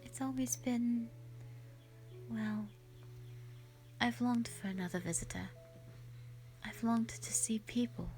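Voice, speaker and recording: Woman playing a slow-spoken, gentle shy dragon lady. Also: a little high, faint music behind. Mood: wistful, gentle. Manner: soft, breathy, hesitant, sweet and earnest. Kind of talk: roleplay audio drama, intimate one-sided dialogue.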